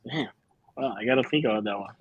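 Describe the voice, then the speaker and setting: Halting Cuban-born metal singer, dry sound. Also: male voice, medium pitch, breathy edge, no room sound, dull audio. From Cuba. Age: 30s. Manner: halting, many fillers and restarts. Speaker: band singer and bassist. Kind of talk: casual interview answers.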